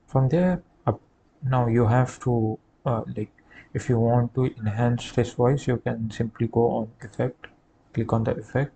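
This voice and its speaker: A man giving a halting, hesitant step-by-step software tutorial over a screen recording. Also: medium pitch, fairly even intonation, poor audio. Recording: clear background noise.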